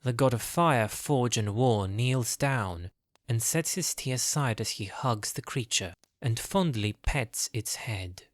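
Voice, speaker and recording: A man's voice: medium pitch, animated, slow, no room sound. A man narrating a scripted fantasy story.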